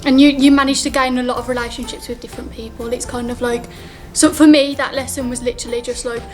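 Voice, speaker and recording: A teenage girl talks casually, as a drama student being interviewed. Her voice sits a little high and moves within a narrow range. Soft music plays behind her. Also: fairly even intonation, fast talker.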